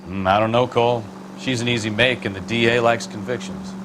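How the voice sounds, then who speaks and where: An actor playing a skeptical police detective partner in a video game, casual and doubtful. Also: male voice, mid-range voice, steady tone, a little fast, natural voice. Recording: loud vehicle hum and noise.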